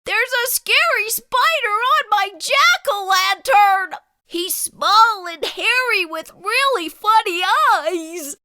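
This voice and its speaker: A woman voicing an excitable, easily scared young boy cat in a breathless, dramatic storybook read-aloud. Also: extremely high pitch, takes it slowly, no echo, studio quality, pitched above the performer's own voice, heavily acted. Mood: frightened.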